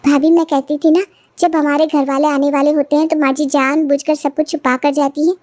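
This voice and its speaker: Woman as high-pitched, aggrieved young daughter-in-law. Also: little pitch movement, brisk, darkish tone, dry recording, natural voice. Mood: eager, secretive. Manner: complaining, insistent. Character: aggrieved, indignant young daughter-in-law, eager to expose wrongs. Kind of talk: cartoon family moral story dialogue.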